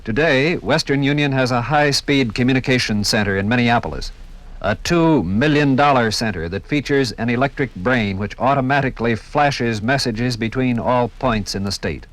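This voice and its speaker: A man's voice: mid-range voice, moderate pace. An American man giving formal 1950s radio documentary narration in a solemn, oratorical manner.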